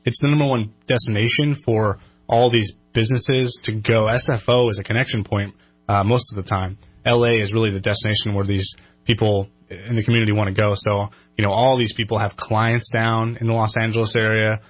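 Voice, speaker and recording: A man's voice: lowish voice, level tone, fairly quick, no room sound, dull audio. A man speaking as a guest in an informal radio interview. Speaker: entrepreneur division director, interview guest.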